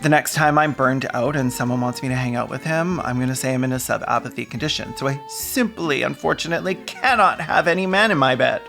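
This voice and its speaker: A man's voice: mid-range voice, fast talker, vocal fry, hardly any pauses, over music. A man hosting a scripted commentary video essay, speaking with a sardonic, dry wit.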